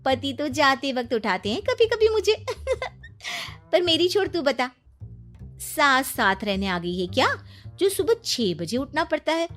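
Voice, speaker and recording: A woman plays a cheeky young housewife in a cartoon story. Her high voice swings expressively as she teases and advises, unhurried, with soft music behind. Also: exaggerated voice. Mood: teasing. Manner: teasing, animated. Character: teasing young married friend, cheeky and scheming. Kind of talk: Hindi cartoon story acting.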